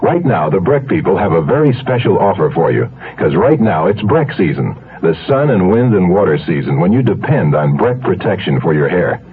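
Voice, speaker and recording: A muffled old radio spot with a male announcer. His voice is low and swings widely in pitch as he delivers a scripted ad pitch. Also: very animated, normal speed, few pauses. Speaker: commercial announcer.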